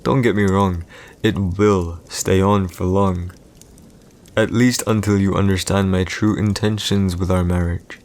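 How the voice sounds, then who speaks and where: A man playing a proud, calculating villain emperor in an audio roleplay, speaking in a measured, commanding way with deliberate pauses. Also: sits low, steady tone, a little mellow. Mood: cold, menacing. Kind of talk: scripted boyfriend audio roleplay, slow dramatic monologue.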